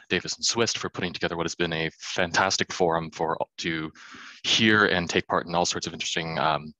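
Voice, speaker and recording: A man in his thirties giving an academic conference talk over a video call, quick and self-deprecating with many fillers. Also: low-pitched, bright, forceful tone, no room sound, low-quality recording. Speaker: conference speaker. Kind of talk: academic presentation.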